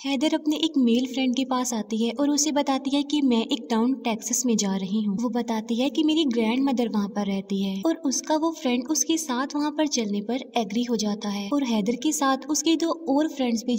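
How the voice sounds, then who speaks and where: A woman narrating a movie plot recap in a steady scripted storytelling manner, as a voice-over. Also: a little high, husky, in a large hall. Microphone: voice-over over film clips.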